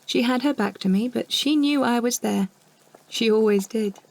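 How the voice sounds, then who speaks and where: A woman narrating a scripted first-person story in a measured, reflective manner. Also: fairly high pitch, vocal fry. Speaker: solo performer narrating a story.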